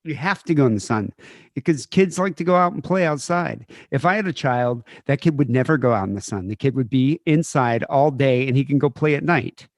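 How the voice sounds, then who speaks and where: A man's voice: mid-range voice, fairly quick, no echo, studio quality. Joking, profane man in his forties. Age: 40s. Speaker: podcast co-host. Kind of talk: casual banter before a livestream.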